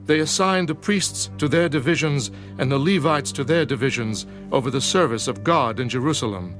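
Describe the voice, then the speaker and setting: A man of medium pitch reads scripture as an audiobook narrator. His delivery is measured and formal, with light music behind him. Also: fairly bright tone.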